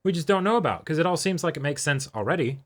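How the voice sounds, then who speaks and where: A man's voice: on the high side, lively intonation, fast. A man co-hosting a reaction show, chatting analytically in a wordy, explanatory way and often checking for agreement. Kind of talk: casual analytical chat.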